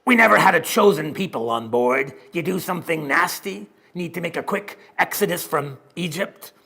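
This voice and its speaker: A man voicing a big, grinning male sailor who talks in a rough, mocking sea-dog dialect. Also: fairly high pitch, animated, moderate pace, sharp tone, exaggerated voice. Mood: threatening.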